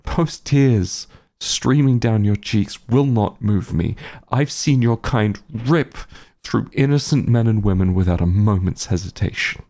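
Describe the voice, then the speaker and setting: A man playing a bitter, grieving werewolf hunter in an audio roleplay, gravelly and husky, speaking with halting, menacing intensity. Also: fairly low pitch, dark tone. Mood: bitter, threatening. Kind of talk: dramatic audio roleplay monologue.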